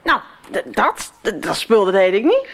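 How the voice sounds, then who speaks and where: An actress playing a sullen, defiant teenage daughter in a radio soap. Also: female voice, medium pitch, wildly swooping pitch, normal speed, breathy edge, exaggerated voice.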